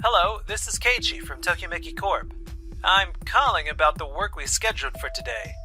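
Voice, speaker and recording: A man's voice: high voice, lively intonation, moderate pace, sharp tone, soft background music, heavily acted. A man voicing a conscientious, reasonable male contractor in a comic dub, earnest, firm and reproachful. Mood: polite. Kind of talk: scripted manga dub voice acting.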